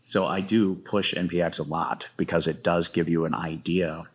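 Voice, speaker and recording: Male playwright guest, low voice. Conversational interview, enthusiastic, halting and discursive. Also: steady tone.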